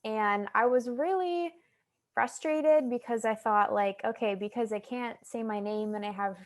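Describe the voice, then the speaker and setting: A young woman with an American accent, a guest talking about her own experiences in a relaxed, chatty way with many fillers. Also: on the high side, a little slow. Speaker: guest, stuttering advocate. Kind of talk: casual interview chat.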